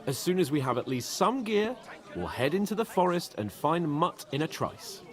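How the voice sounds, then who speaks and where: An actor playing a pompous, excitable young nobleman in a video game, theatrical and quick to indignation. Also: on the high side. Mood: gracious, condescending. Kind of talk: dramatic video game dialogue.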